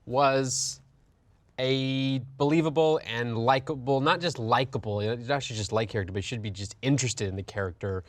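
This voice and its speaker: A man's voice: mid-range voice, brisk, dry recording. A man talking casually as a panelist in a conversational discussion. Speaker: panelist on a video game movie show.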